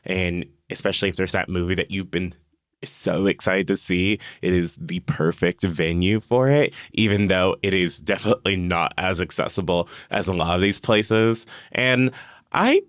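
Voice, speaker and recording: Deep, smooth-voiced American man. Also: moderate pace, no room sound, studio quality. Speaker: podcast panelist. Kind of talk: casual opinionated chat.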